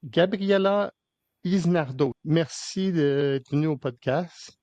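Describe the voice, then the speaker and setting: A man's voice: on the high side, slow pace, a little mellow. A man hosting a podcast interview in casual Québécois French, hesitant, with many fillers and restarts. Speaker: podcast host.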